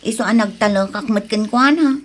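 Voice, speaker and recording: A woman's voice: a little high, brisk, noisy background, lightly acted. An actress playing an earnest, dutiful young Ilocano woman in a radio drama, speaking expressively. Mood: worried. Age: young adult.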